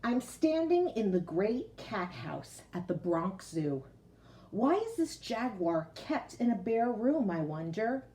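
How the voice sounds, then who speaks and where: A woman's voice: medium pitch, sing-song, takes it slowly, warm tone. A woman speaking as a teacher in a read-aloud lesson for young children, explaining things simply and often checking that they follow. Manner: explains simply, with frequent checking "okay?" and recaps. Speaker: teacher reading a picture book aloud to children.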